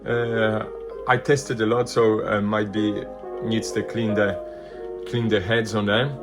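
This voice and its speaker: A man presenting a casual hands-on product demonstration, thinking aloud in loose, halting phrases. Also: on the low side, flat delivery, over music. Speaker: vintage hi-fi video presenter.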